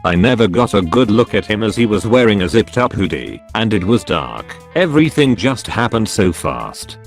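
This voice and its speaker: A man reading out first-person stories as a steady, even narrator. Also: on the low side, moderate pace. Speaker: narrator reading online posts. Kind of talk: scripted narration. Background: soft background music.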